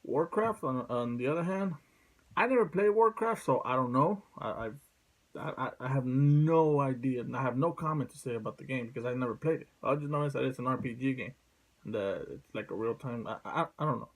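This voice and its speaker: A man speaking casually as a video host, blunt and sweary, in a halting, rambling way. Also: medium pitch, poor audio. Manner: blunt, profane. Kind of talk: casual opinion vlog.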